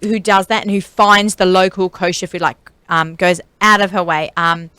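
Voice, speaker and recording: A woman's voice: fairly low pitch, steady tone, moderate pace, sharp tone, smooth, no echo. A middle-aged woman with an Australian accent, chatting casually and in a rambling way as a radio show host. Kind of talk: casual chat about food.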